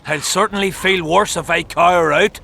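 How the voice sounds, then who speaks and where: A man's voice: high-pitched, normal speed, vocal fry, bright, forceful tone, a little hiss or hum, exaggerated voice. A man playing a proud, stubborn young soldier in a fantasy video game, scornful and sarcastic. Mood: scornful, defiant. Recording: music behind the voice.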